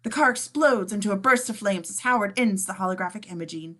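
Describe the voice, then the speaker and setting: A woman's voice: medium pitch, fast talker, fairly bright tone. A woman reading a script's scene descriptions at a table read, informal and playful, with casual asides. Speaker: stage-direction reader.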